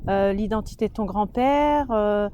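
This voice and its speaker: A woman interviewer asking questions in a quick, chatty way during a casual conversation. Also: medium pitch, dark tone, slight background noise.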